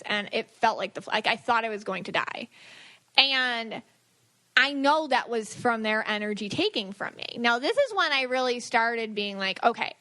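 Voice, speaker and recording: Bright high-voiced woman chatting on a podcast. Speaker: podcast host and spiritual medium. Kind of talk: casual podcast chat.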